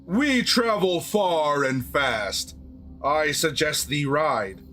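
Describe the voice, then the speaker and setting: A man voicing a commanding male centaur who speaks in slow, old-fashioned formal phrases. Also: fairly high pitch, lively intonation, a bit bright, soft background music, exaggerated voice. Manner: archaic formal speech, slow and measured.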